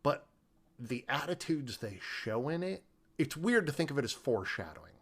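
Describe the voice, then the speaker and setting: A man chats as a podcast co-host with a very lively, swooping delivery. He sounds emphatic and incredulous, leaning on key words in loose, colloquial speech. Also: medium pitch, normal speed, breathy edge. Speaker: podcast co-host discussing a film. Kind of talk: casual chat.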